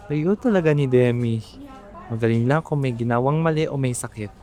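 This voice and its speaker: A man's voice: mid-range voice, normal speed, dark tone, close to an everyday voice. A young man with a Filipino accent playing a weary, resentful eldest son who supports his family, earnest and emotional, in an amateur radio drama. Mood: caring. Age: young adult.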